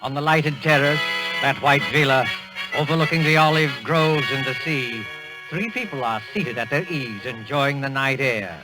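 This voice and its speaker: A man's voice: mid-range voice, moderate pace, music in the background, rough recording. A man narrating an old-time radio drama in a dramatic, scene-setting manner, on a vintage recording.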